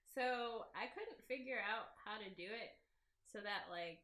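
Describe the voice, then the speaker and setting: A woman's voice: on the high side, unhurried, sharp tone, roomy sound. A woman doing a casual, giggly let's-play of a visual novel, switching between informal self-deprecating asides and reading the story text aloud. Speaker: game creator playing through own visual novel.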